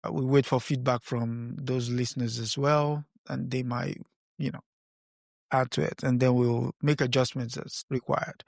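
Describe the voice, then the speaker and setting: A man hosting a podcast, introducing and questioning his guest in measured, formal phrasing. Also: medium pitch, flat delivery, vocal fry, dry recording, studio quality. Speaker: podcast co-host.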